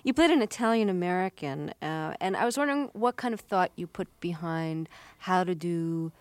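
A woman interviewer asking an actor long, measured questions about his roles. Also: on the low side, animated, dry recording.

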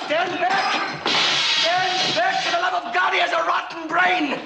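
An excitable young mad-scientist doctor in a high, gravelly voice. He shouts in alarm, with loud film music swelling behind him. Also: unhurried, echoey room, poor audio. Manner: frantic, shouting.

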